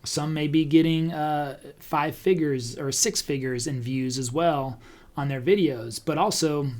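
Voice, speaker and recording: A man talking casually as a podcast host, conversational and thinking out loud as he goes. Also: on the high side, fairly even intonation, measured pace. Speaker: podcast host and real estate agent. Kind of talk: casual talk about online video marketing.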